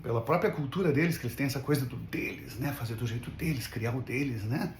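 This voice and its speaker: A man's voice: mid-range voice, fast talker, a little hiss or hum. A man talking casually as an English teacher in an unscripted vlog, rambling and often checking for agreement with little tag questions. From Brazil. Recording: roomy, echoing room sound.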